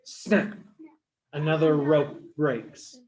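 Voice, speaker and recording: A man telling a story to young children as a teacher, explaining things simply with dramatic pauses. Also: on the high side, steady tone, darkish tone. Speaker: storyteller, early education teacher.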